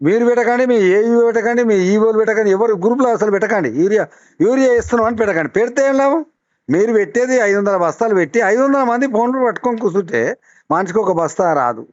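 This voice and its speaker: A man's voice: high-pitched, lively intonation, rapid-fire, no room sound. A man with a Telangana accent making a heated, insistent complaint as a farmer on a phone call, repeating his demands argumentatively. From Telangana, India. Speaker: farmer caller complaining to an official. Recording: dull lo-fi phone call recording.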